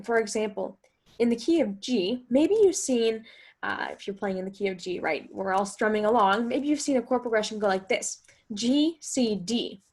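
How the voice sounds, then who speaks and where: A woman giving an instructional guitar lesson, explaining step by step and often posing questions as she goes. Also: fairly high pitch. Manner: explanatory, talks through steps with rhetorical questions. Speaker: guitar teacher and host.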